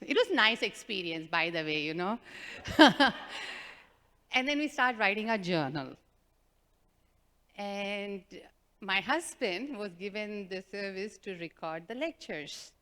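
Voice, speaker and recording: A woman telling a devotional group a personal story, animated and self-mocking, with a loud voice and frequent laughter. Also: medium pitch, slow pace. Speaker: devotional speaker. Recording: reverberant hall.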